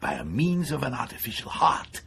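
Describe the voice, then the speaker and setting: Obsessive British surgeon, radio horror drama, gravelly, wildly swooping intonation, insistent. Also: male voice, medium pitch, wildly swooping pitch, moderate pace, a bit bright, full character voice. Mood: eager, persuasive. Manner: insistent, enthusiastic, coolly clinical lecturing. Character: eager, persuasive male neurosurgeon obsessed with a macabre experiment. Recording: faint background noise.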